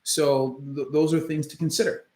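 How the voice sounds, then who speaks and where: A man from Canada with a faint Jamaican trace in his Canadian accent. He is an orthopedic surgeon answering viewer questions in a casual livestream, talking in a measured way with many ums and restarts. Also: a little high, flat delivery, darkish tone. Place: his home office. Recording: roomy echo in a room.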